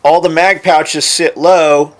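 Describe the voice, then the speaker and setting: A man's voice: a little high, fairly even intonation, takes it slowly. A man who makes gear, telling a story casually and bluntly with plenty of swearing. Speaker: gear maker.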